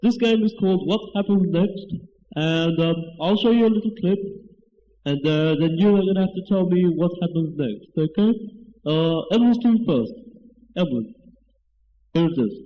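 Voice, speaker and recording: Teenage boy as bumbling quiz host, high voice, polite, hesitant, amateur radio comedy, echoey muffled tape. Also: lightly acted. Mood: halting, polite. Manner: halting, bumbling, patient. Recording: echoey, noisy lo-fi home tape.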